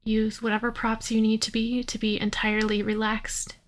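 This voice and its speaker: A woman leading a guided yoga nidra meditation in a soothing, unhurried manner, leaving long pauses. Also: a little high, monotone, a little hiss or hum.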